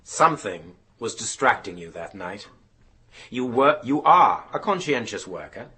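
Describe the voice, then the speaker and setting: An actor playing a formal, insistent inquiry chairman with a husky voice, questioning in a radio drama. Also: mid-range voice, big pitch swings, a little slow, pushed and bright, full character voice. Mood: insistent, probing. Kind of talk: naturalistic radio drama acting.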